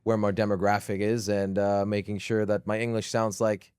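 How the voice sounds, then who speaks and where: A Dutch man in his thirties, a gaming creator chatting casually as a podcast guest, relaxed with lots of fillers. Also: lowish voice, moderate pace, clear, resonant tone, no echo, studio quality. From southern Netherlands.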